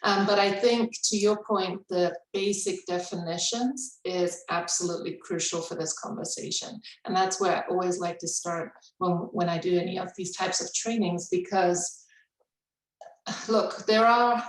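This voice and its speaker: Woman trainer on a webinar, lowish voice, echoey and far from the mic. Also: fairly even intonation, a little slow. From Indonesia. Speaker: cultural humility trainer. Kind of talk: webinar conversation. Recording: roomy, distant mic.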